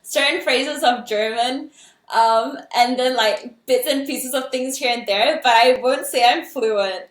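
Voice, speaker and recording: A woman's voice: high-pitched, unhurried. A young woman from Singapore, a singer and content creator, talking as a podcast guest in a reflective, bubbly way with lots of "like" and "right?". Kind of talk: casual podcast conversation. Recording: roomy echo.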